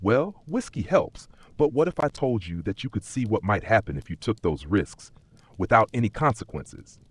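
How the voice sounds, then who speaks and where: Man playing Nott, a mischievous magical barkeep with swooping pitch. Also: very animated, normal speed, husky, no room sound. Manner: teasing, riddling, playful wordplay. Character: Nott, mischievous, cryptic magical pub keeper, male. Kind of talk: dark fantasy audio story dialogue.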